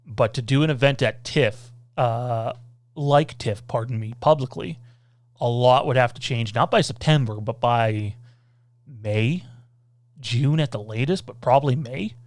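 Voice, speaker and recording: A man hosting a casual livestream Q&A, rambling loosely, reading out viewer comments and filling pauses with lots of "uh" and "um.". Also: medium pitch, dry recording. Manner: rambling, reads out viewer comments, many filler sounds. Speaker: podcast host.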